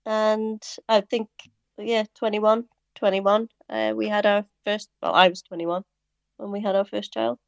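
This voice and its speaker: A woman's voice: mid-range voice, level tone, unhurried, vocal fry, dry recording, lo-fi sound. A woman in her thirties with a Welsh accent, a guest on a podcast interview, chatting in a light, easy way and laughing readily. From Wales. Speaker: guest, firefighter.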